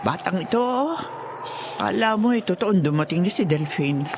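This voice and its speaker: A woman's voice: mid-range voice, lively intonation, moderate pace, background noise, close to an everyday voice. A woman acting a concerned, firm but caring elderly grandmother in a Filipino radio horror drama. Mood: alarmed. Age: older.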